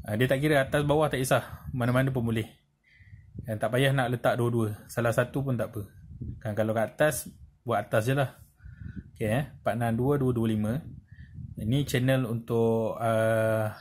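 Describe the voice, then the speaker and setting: A man with a Malaysian accent giving an informal hands-on tutorial, explaining step by step in a halting way with frequent check-in tags. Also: mid-range voice, fairly even intonation, a little mellow. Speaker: presenter of a tutorial. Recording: clearly noisy amateur recording with clicks.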